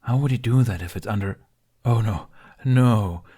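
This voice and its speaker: A fierce dragon companion from a fantasy audiobook, performed by a male reader. He is protective and exasperated, speaking forcefully with a worried, husky voice. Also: fairly low pitch, normal speed. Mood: alarmed.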